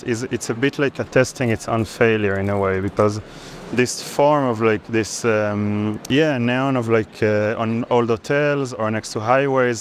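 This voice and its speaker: A man in his thirties with an Israeli accent, an artist thoughtfully explaining his work in an interview, often searching for words. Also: lowish voice. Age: 30s. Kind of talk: reflective explanation of own artwork. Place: busy art fair. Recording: clear urban background noise at fair.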